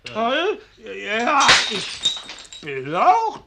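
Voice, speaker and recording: Drunken, half-mad man, dragging pace, wildly varying pitch, radio drama. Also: huge pitch swings, very slow, gravelly, very noisy, heavily acted. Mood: drunk, bewildered. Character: drunken, bewildered man half losing his wits. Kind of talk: German radio drama acting.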